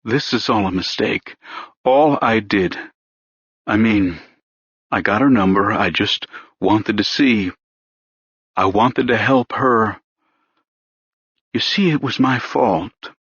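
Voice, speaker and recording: A man's voice: lowish voice, takes it slowly, long pauses, a bit bright, husky, no room sound, heavily acted. A man voicing a frightened young man under police questioning, speaking in a defensive, pleading way. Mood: halting. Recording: dull, lo-fi dry recording.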